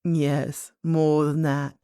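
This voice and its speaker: A woman voicing a very old, gentle and mysterious grandmother queen in a storybook, speaking calmly and kindly with measured words. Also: low voice, smooth, dry recording, studio quality, voice pushed down. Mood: patient, amused. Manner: calm, measured, kindly, enigmatic. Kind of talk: storybook character voice.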